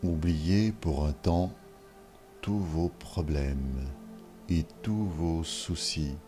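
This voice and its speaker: Very deep, slow-speaking man guiding meditation. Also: warm tone, soft background music. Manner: measured, instructional, with long pauses between short phrases. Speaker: yoga nidra guide. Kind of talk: guided relaxation meditation.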